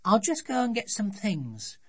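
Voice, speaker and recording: A woman's voice: mid-range voice, huge pitch swings, a little slow, slightly rough, pitched above the performer's own voice, full character voice. A woman voicing a bossy, triumphant little girl in a children's storybook, with emphatic, insistent delivery. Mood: eager, bossy.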